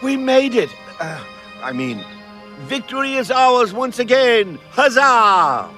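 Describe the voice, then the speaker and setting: Pompous young knight, wildly swooping delivery. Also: male voice, extremely high pitch, very animated, takes it slowly, bright, forceful tone, exaggerated voice. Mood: triumphant bluster. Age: young adult. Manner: pompous, grandiose, theatrical declaiming. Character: brash, pompous young knight, count's vain son. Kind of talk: fantasy video game acting. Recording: music behind the voice.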